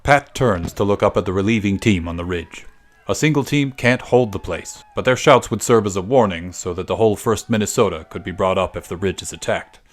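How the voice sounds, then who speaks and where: A man's voice: fairly low pitch, brisk. A man reading a historical war story aloud as scripted dramatic narration for a literary podcast.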